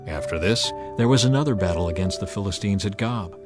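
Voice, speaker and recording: A man reads scripture as a scripted, dramatized narration, in a solemn, measured and emphatic manner. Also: fairly low pitch, lively intonation. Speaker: audio Bible narrator. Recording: music bed under narration. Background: background music.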